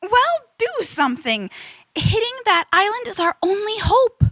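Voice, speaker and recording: A woman's voice: extremely high pitch, measured pace, dry recording, full character voice. A woman playing a sensible, anxious, exasperated adventurer's sidekick in a comedic children's audio drama. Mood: urgent, panicked. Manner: exasperated, excitable.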